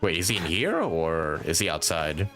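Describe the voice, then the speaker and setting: A man's voice: low-pitched, very animated, normal speed, fairly bright tone. A man live streaming a game with informal, chuckling commentary and some swearing. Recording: game music behind.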